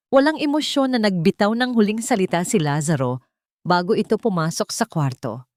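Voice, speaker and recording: Lower-pitched woman narrating, dry sound. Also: a little mellow, no room sound. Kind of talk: scripted dramatic love-story narration.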